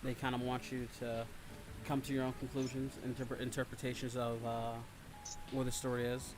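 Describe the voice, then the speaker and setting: A man's voice: mid-range voice, monotone, normal speed, noisy background. A man hosting a livestream, chatting casually in a rambling, sometimes profane way. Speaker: livestream host. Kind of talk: casual chat with listeners. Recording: music playing behind the voice. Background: background music.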